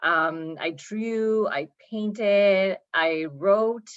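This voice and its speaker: A woman's voice: medium pitch, very slow, clean tone, muffled recording. A woman in her thirties from Pakistan, chatting as a guest in an interview with lots of ums and small laughs. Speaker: poet and guest. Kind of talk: casual interview talk.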